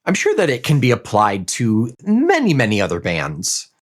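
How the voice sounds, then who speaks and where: A man co-hosting a casual podcast, relaxed and agreeable, chatting with his co-host. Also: mid-range voice, moderate pace. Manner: relaxed, agreeable, lots of yeahs. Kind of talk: casual chat, reading a listener email aloud.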